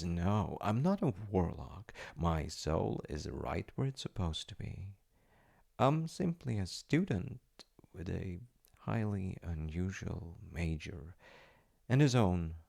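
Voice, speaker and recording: A man playing a polite, eager wizard fiance in an ASMR audio roleplay, with theatrical delivery and soft muttered asides. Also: low-pitched, animated, takes it slowly, vocal fry, husky, heavily acted. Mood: flustered, reassuring. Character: eager, secretly magical wizard fiance running an enchantment business.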